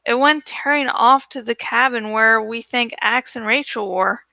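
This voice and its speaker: A woman acts out an earnest teenage girl in a story reading. She is probing and troubled, with a high, bright voice set in a dry space. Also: measured pace, creaky, dry recording, full character voice. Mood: puzzled, probing.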